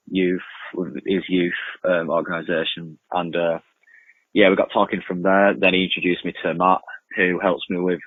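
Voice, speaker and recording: A young man answering casually as a radio interview guest, hesitant and informal, often repeating himself. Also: sits low. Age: young adult. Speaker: interview guest, amateur music producer and podcaster. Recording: muffled lo-fi, roomy echoing remote link.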